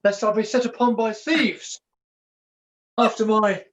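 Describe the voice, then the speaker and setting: Woman as a hapless young panto jester, slow deliberate delivery, dull lo-fi call audio. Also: a little high, steady tone, natural voice. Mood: forlorn. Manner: theatrical, deliberate, sheepish. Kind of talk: pantomime acting. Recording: dull lo-fi video-call recording.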